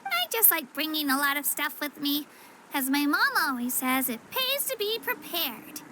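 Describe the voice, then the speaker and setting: A woman's voice: sits high, big pitch swings, moderate pace, sharp tone, slight background noise, exaggerated voice. A young woman playing an upbeat, helpful girl guide in a video game, speaking in a friendly, chatty, eagerly explaining way. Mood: cheerful, friendly. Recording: music behind the voice. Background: game music.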